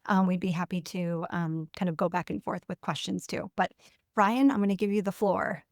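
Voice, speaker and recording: A woman's voice: lowish voice, steady tone, moderate pace, vocal fry, dry recording, studio quality. A woman moderating a webinar panel, speaking in a friendly, chatty way with lots of fillers as she introduces the guests. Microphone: video call.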